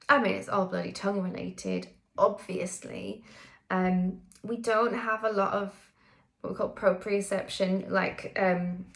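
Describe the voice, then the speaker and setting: Chatty woman vocal coach with gravelly fry. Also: mid-range voice. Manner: chatty, candid, frequent ums and self-corrections. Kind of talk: casual personal vlog.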